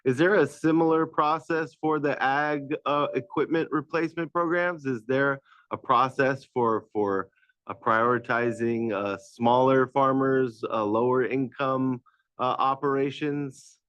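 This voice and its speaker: Man, tentative questions, smooth voice, slightly muffled recording. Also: mid-range voice, fairly even intonation, measured pace, fairly bright tone, dry recording. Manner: tentative questioning, many fillers. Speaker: advisory group member. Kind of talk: asking questions at a public meeting.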